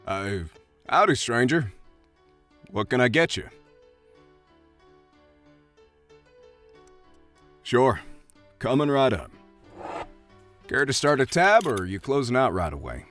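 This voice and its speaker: A man's voice: medium pitch, very animated, a little slow, long pauses, faint music behind. A man playing a friendly, chatty Old West saloon bartender with a hesitant, folksy way of talking. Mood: welcoming. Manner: hesitant, folksy, soft-hearted. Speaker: voice actor. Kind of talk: audio roleplay acting addressed to the listener.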